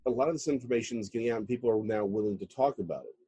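A man speaks casually as an interview guest. His voice is mellow and a little husky, recorded dry and close. He leans on words when he gets going. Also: lowish voice, rapid-fire, no room sound. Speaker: guest author.

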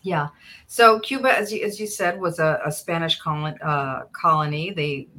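A woman's voice: low voice, moderate pace, pushed and bright. A middle-aged woman, explaining things conversationally as an academic interview guest. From United States, in a Cuban immigrant community. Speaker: interview guest, history professor and author.

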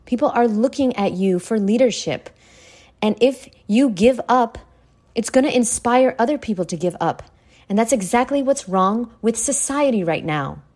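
A woman hosting an informal motivational podcast, brash and sweary, talking straight at the listener with dramatic, drawn-out emphasis. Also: a little high, lively intonation, darkish tone. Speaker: podcast host. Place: at home in a condo.

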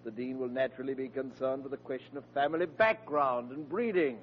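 An actor playing a pompous freeloading uncle who poses as a scholar, with grandiose, formal, condescending delivery in a 1940s radio sitcom. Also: male voice, medium pitch, a little fast, lightly acted. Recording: muffled old radio broadcast with hum.

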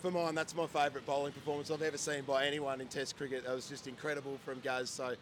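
A man, a co-host in a casual podcast chat, a little hoarse from days of shouting and eager to rattle off numbers. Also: medium pitch, level tone, quick pace, slight background noise. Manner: reels off stats, enthusiastic.

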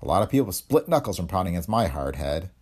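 A man's voice: low-pitched, sing-song, fast, darkish tone. A man voicing a cocky, sardonic, hard-headed investigator in a hardboiled detective story, wry and tough-talking. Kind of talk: hardboiled detective audiobook dialogue.

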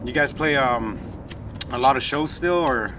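A man's voice: medium pitch, unhurried, recorded from a distance. A man working as an interviewer, asking informal questions in a casual, sweary way. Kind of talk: casual chat. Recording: outdoor recording, faint traffic noise.

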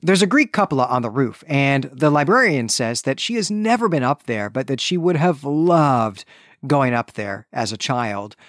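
A man with a mid-pitched voice talks as a book podcast co-host. He lays out details in a measured, explanatory way, stressing the key points. The sound is dry, with very little room in it. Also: big pitch swings, a bit bright, no room sound. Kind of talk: book recap discussion, explanatory.

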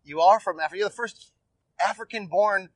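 A man hosting an interview, asking quick, upbeat questions and slipping in jokes. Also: sits high, dry recording, recorded from a distance. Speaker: interview host.

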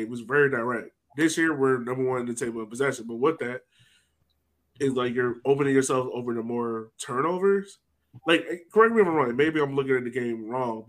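A man's voice: mid-range voice, fairly bright tone, poor audio. A man talking casually and colloquially as a sports podcast host, sometimes voicing imagined reactions.

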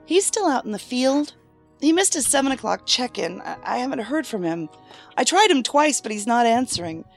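High-voiced, brisk woman investigator. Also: sing-song, gravelly, faint music behind, exaggerated voice. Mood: impatient. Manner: terse, dry, questioning. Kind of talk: audio drama acting.

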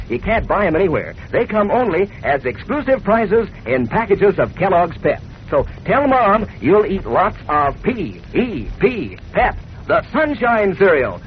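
Animated, high-pitched male radio announcer. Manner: chummy, cheery, addressing young listeners. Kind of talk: 1940s radio commercial pitch, folksy. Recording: muffled, faintly noisy 1940s radio recording.

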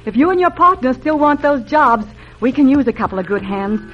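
A woman's voice: high voice, expressive, a little fast. Plucky rancher woman, muffled radio. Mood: grateful, impressed. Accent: American. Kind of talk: old-time radio western acting. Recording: muffled old radio recording, faint music.

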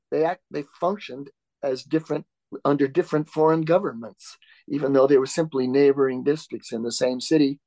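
A man who is the author and interview guest, answering questions in a conversational, halting way with frequent restarts and filler words. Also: medium pitch, creaky, a little mellow, no echo, rough recording.

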